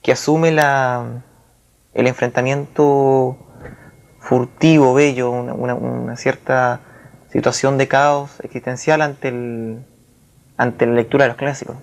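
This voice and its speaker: A man speaking as a writer in a reflective literary interview, with formal wording and a halting, searching manner. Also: medium pitch, flat delivery, gravelly. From Chile.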